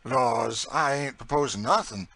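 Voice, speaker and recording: A male narrator plays an enslaved woman who cooks, motherly and eager. The voice is lifted high and pushed out loud and bright, in a folksy dialect full of emphatic exclamations. It belongs to an audiobook story. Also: a little slow, gravelly, no room sound, heavily acted. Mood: coaxing. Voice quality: folksy dialect speech with dropped sounds. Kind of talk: audiobook character dialogue.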